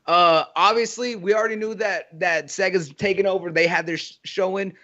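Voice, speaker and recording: Eager gamer guy debating on a podcast, kinda high bright voice, bit lo-fi. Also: fairly even intonation, normal speed. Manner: eager, argumentative, interrupting. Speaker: podcast panelist. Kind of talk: casual gaming discussion. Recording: slightly dull lo-fi audio.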